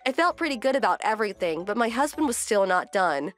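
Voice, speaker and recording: A woman acting an indignant young wife, lively delivery. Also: medium pitch, quick pace, soft background music, lightly acted. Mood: firm, reflective. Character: fed-up young wife narrating family grievances. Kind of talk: scripted manga dub narration.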